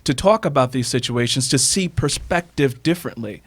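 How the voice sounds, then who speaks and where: A man's voice: mid-range voice, lively intonation, moderate pace, no echo. A man telling reflective personal stories as a radio guest, emphatic and repeating phrases for effect. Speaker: interview guest, author.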